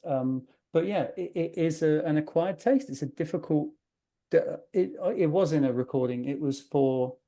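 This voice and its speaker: A man hosting a podcast, chatting in a friendly, rambling way with quick asides. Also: medium pitch, vocal fry, mellow. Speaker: podcast host. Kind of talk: casual chat. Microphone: video call.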